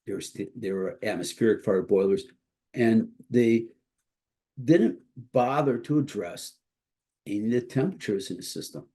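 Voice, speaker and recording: A man giving an informal instructional presentation as a college instructor, explaining haltingly with a congested, slightly husky voice that is starting to give out. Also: lowish voice, expressive, warm tone. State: congested, starting to lose his voice.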